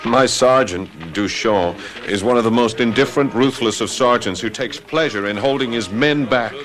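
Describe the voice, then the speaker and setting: Forceful, excitable young gendarme played by a man. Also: medium pitch, faint music behind, close to an everyday voice, low-quality recording. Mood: grumbling. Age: young adult. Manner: urgent, halting exclamations. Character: earnest, excitable young male off-duty Paris gendarme. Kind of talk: radio mystery drama acting.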